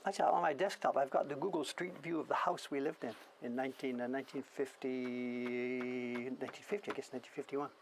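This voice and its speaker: Older man, seventies, Canadian accent, creaky voice, rambling casual reminiscing, some background noise. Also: mid-range voice, steady tone, fairly quick. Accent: generic Canadian. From Canadian prairies, born in England. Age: 70s. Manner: rambling, musing, self-questioning. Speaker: printmaker hosting a studio stream. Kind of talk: casual reminiscing chat while working. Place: workshop.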